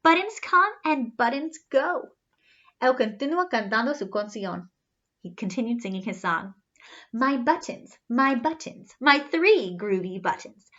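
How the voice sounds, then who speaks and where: Woman children's storyteller: high, very lively pitch swings, teacherly read-aloud delivery, singsong refrains, slightly muffled recording. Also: a little slow. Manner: animated, teacherly, spelling things out for young children. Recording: slightly dull, lo-fi sound.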